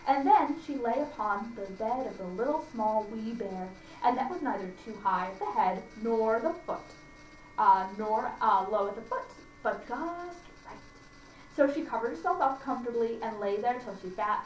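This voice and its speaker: A woman reading a children's story aloud for a library audience, deliberately and with small stumbles. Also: on the high side. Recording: echoey room, distant mic, faint noise.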